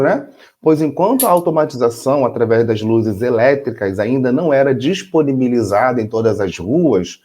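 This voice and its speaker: A man's voice: mid-range voice, a little mellow. A man co-hosting a casual educational chat, teasing his partner and laughing often. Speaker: co-host.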